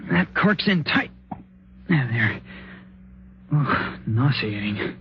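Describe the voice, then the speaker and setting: An actor playing a worried, protective young husband in a 1950s radio suspense drama, urgent and earnest. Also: male voice, mid-range voice, big pitch swings, slow pace. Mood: urgent, determined. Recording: dull, noisy vintage radio recording.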